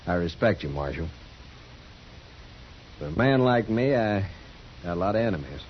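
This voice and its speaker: Dangerous frontier gunman, deep voice, calm, proud, folksy, dull hissy old radio sound. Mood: respectful, wary. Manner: plain-spoken, folksy, unhurried. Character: calm, proud male gunfighter, drawling and dangerous. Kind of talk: 1950s radio drama acting.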